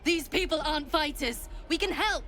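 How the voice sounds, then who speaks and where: Fiery young adventuress, indignant and high. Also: female voice, moderate pace, fairly bright tone, soft background music. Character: hot-headed young female adventurer.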